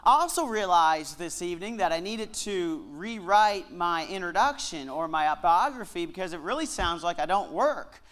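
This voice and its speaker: A Canadian man with a slight Southern twang, giving a joking sermon-style lecture with slow, deliberate emphasis on key words. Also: high-pitched, expressive, fairly bright tone. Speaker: guest speaker at a Bible lectureship.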